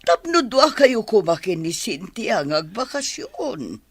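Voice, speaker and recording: A woman's voice: medium pitch, sing-song, a little slow, breathy edge, no echo, full character voice. An actress with an Ilocano Filipino accent playing a disapproving, worried mother of a grown son in a radio drama. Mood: questioning, worried.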